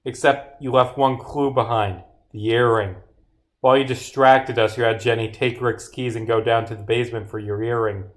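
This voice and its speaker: A man reading the part of an earnest, solemn detective in a visual novel game, making a dramatic, deliberate accusation. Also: mid-range voice, fairly even intonation, lightly acted. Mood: accusing.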